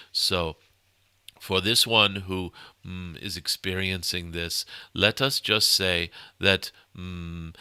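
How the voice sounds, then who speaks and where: A man voicing an ancient, benevolent cosmic dragon spirit guide, speaking formally and haltingly with frequent hummed pauses, as in a channeled spiritual message. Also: on the low side, pushed and bright, smooth, no echo, clean studio sound. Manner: halting, hums "mm" between phrases, formal archaic phrasing.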